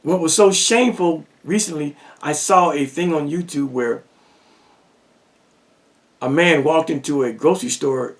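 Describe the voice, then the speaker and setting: A man lectures on religion at a slow, deliberate pace, stacking rhetorical questions and repeating lines for effect. His voice sits high and carries a gravelly edge. He sounds set back from the microphone. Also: distant mic. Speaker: religious teacher. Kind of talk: lecture-style monologue.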